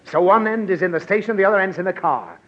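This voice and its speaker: A man's voice: high-pitched, lively intonation, quick pace, fairly bright tone. An actor playing an exasperated father who blusters and lectures his child, in 1940s radio comedy acting. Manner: blustering, lecturing, easily flustered. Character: exasperated middle-aged father. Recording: dull old radio broadcast, faint hiss.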